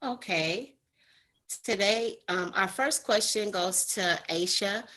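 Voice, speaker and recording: Bright, upbeat woman moderator. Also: medium pitch, slow pace. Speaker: moderator, fifth grade teacher.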